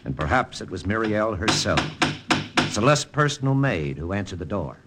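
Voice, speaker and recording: A man's voice: lowish voice, expressive, moderate pace, very noisy. An actor playing a remorseful male secret agent in a 1950s radio drama, narrating in a hard-boiled, confessional way. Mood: brooding, regretful. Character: brooding, remorseful male OSS agent telling his story. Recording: old radio broadcast with music.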